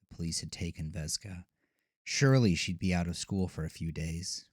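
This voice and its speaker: A man narrating a scripted horror audio drama in a deliberate, dramatic storytelling manner. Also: sits low, breathy edge, no echo, studio quality. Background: music.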